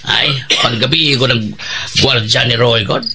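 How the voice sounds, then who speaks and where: Actor as a slow, drawling Bisaya drinker. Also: male voice, medium pitch, steady tone, very noisy, close to an everyday voice. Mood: rambling. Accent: Filipino, Bisaya. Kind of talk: Filipino radio drama acting.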